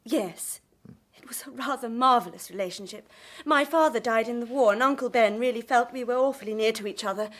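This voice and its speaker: An actress playing an overwrought, self-pitying young stage actress, histrionic and gushing, in a radio drama. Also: female voice, a little high, a little fast, natural voice.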